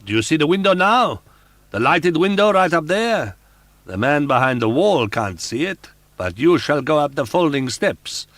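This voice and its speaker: A man's voice: fairly low pitch, lively intonation, moderate pace, no room sound. A man reading an audiobook, voicing a volatile, jealous masked phantom who taunts and rages in a raised, grating voice. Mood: taunting.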